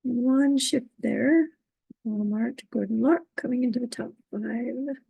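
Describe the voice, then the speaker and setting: A woman moderating an online trivia quiz, reading out questions and standings, who is losing her voice and keeps clearing her throat. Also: fairly high pitch, no echo, dull audio. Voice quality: gravelly, husky. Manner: upbeat, reading standings, halting on invented words.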